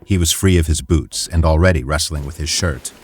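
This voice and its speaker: A man's voice: very deep voice, a little fast, a little hiss or hum. A man reading scripted, dramatic fantasy fiction as the narrator of an audio story. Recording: music behind the voice. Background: loud music.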